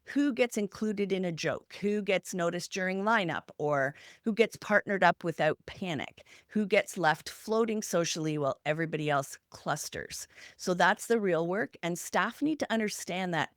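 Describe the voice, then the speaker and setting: A woman's voice: fairly low pitch, animated, normal speed, no echo, clean studio sound. A woman co-hosting a podcast, talking in a structured, instructional way and stressing points through short, parallel lists. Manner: emphatic, listing examples in short parallel phrases. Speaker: podcast co-host.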